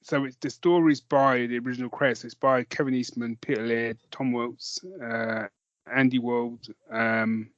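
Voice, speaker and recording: A British man talks casually as a podcast co-host at a middling pitch. He rambles and restarts his sentences often. The recording is dry and a little dull. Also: flat delivery, normal speed, a bit bright, no echo. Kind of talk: casual chat about films and comics.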